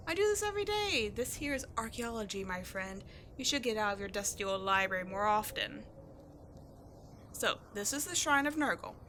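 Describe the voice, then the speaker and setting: A woman's voice: a little high, sharp tone, a little hiss or hum. A woman acting a brash, cheeky tomboy archaeologist in a roleplay audio drama, bantering with the listener with defensive bravado. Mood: breezy, teasing. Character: brash tomboy adventurer archaeologist, cocky, flustered, secretly sweet.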